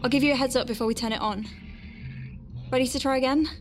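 A woman playing a flustered but awestruck space medic in an audio drama, chatty, nervy and expressive as she talks through her work. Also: high-pitched, fast, soft background music, close to an everyday voice. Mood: nervous. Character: young female space medic Faye, flustered but awestruck, working inside star whale. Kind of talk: audio drama acting with first-person narration.

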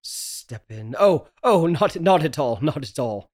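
A man playing a nervous, secretive young husband in a table read of an old radio horror play, halting, repetitive and defensive. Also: fairly high pitch, animated, darkish tone. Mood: uneasy.

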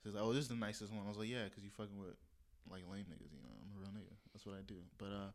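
A man's voice: lowish voice, steady tone, racing. A young man co-hosting a casual podcast chat, telling stories in a loose, laid-back way that often trails off. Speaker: podcast co-host.

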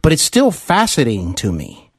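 An earnest male podcast host conducts an interview with an admiring, effusive manner. His pitch moves in lively swoops, and the recording is dry with little room sound. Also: normal speed, slightly rough, dry recording. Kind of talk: celebrity interview.

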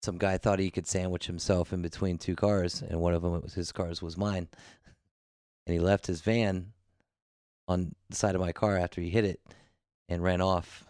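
A man with a very low, smooth and resonant voice talks casually on a podcast. He rambles and restarts his sentences in a laid-back way. The sound is dry and close, with no room echo. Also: little pitch movement, normal speed, a little mellow. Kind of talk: casual chat.

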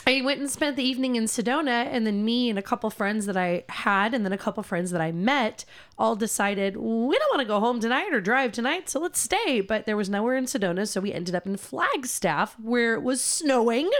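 A young woman co-hosting a podcast, talking casually with a slightly hoarse, scratchy voice, rambling with many fillers and laughs. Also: fairly high pitch, expressive, fairly quick. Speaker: podcast co-host. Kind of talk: casual chat.

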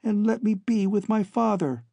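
Man voicing a pleading young woman, high-pitched. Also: normal speed, vocal fry, warm tone, no room sound. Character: pleading, scheming young woman, captive daughter.

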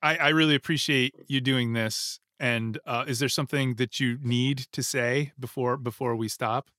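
A man's voice: mid-range voice, steady tone, normal speed, pushed and bright, no echo. Dry-voiced man hosting an interview. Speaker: podcast host. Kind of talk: casual interview chat.

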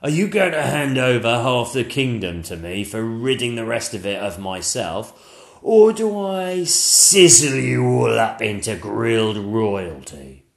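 A man's voice: medium pitch, sing-song, unhurried, gravelly. A man doing a pompous, blustering dragon. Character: boastful crafty dragon, a witch in disguise. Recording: roomy echo.

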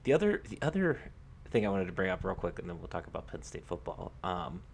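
A man co-hosting a casual sports podcast, talking in a halting way with repeated words and lots of "like". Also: low-pitched, sing-song, vocal fry, a little hiss or hum. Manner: halting, repeats himself, peppers sentences with "like".

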